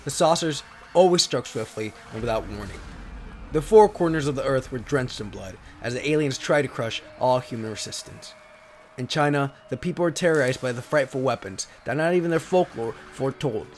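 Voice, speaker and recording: A man with a medium-pitched voice reads pulp sci-fi tales as a narrator. His delivery is theatrical and solemn, like a serious storyteller. There is some faint noise and ambience behind him. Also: expressive, a little fast, darkish tone. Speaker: narrator and host. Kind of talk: dramatic reading of pulp sci-fi card stories. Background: sound effects and ambience.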